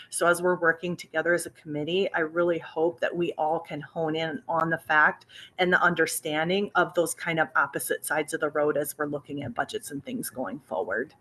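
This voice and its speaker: Woman, low voice, emphatic, earnest, video call meeting. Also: a bit bright. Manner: emphatic, pleading. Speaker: committee member from residential providers. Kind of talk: committee meeting comment.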